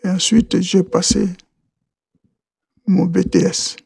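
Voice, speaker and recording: Slow, gravelly man from Côte d'Ivoire. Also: high-pitched, level tone, a little mellow, no room sound, studio quality. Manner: halting, repeats words and restarts often. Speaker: podcast guest. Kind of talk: interview answers.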